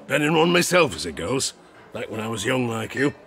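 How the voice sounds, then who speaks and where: An actor playing an old, garrulous sailor and former harpooner, telling tavern tales in rustic seafaring dialect for an audio drama. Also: male voice, mid-range voice, big pitch swings, brisk, vocal fry, a bit bright. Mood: reminiscing. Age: older. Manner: rustic sailor dialect, chuckling, conspiratorial asides. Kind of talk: theatrical audio drama storytelling.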